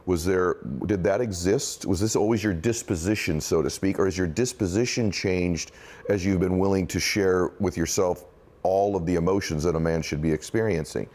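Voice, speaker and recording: A man hosting an interview who talks quickly and assertively. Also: low voice, darkish tone. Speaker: host. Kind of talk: interview conversation.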